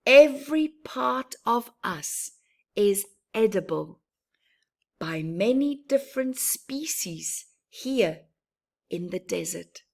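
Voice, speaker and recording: Woman playing a fatherly old desert tree, proud, generous, earnest, storybook dialogue, very measured pace. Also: medium pitch, expressive, creaky, darkish tone, full character voice.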